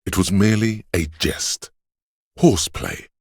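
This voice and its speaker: Game role: scheming courtly adviser, extremely deep husky bass, grandiloquent and obsequious, close dry sound. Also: male voice, unhurried, dry recording, exaggerated voice. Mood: grandly deferential. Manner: theatrical, grandiloquent, addressing a liege. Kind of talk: scripted game voice lines, archaic courtly speech.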